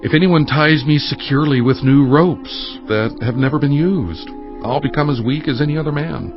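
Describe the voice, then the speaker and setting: An actor playing a mighty, proud biblical strongman, speaking in a dramatic, deliberate, declamatory way. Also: on the high side, close to an everyday voice. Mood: teasing deceit. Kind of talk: dramatized scripture audio acting. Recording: dull band-limited audio over music.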